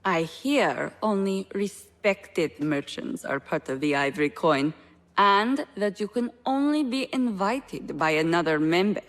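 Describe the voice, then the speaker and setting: An actress playing a wry, gossipy market trader in a video game, confiding and expressive. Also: female voice, medium pitch, measured pace, close to an everyday voice. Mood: hesitant, confiding. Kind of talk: cinematic video game dialogue.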